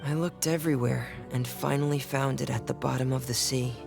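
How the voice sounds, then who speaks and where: A man's voice: medium pitch, fairly even intonation, fairly quick, creaky, close to an everyday voice. A man voicing a timid, dutiful teenage boy in a video game, with a hesitant, earnest and polite manner. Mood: earnest, reserved. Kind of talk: dramatic video game voice acting. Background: music.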